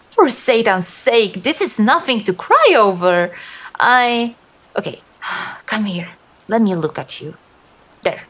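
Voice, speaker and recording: A woman voicing a teasing but sympathetic female demon in an intimate ASMR roleplay, coaxing softly with dramatic hesitations. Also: medium pitch, exaggerated voice. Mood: awkward, taken aback.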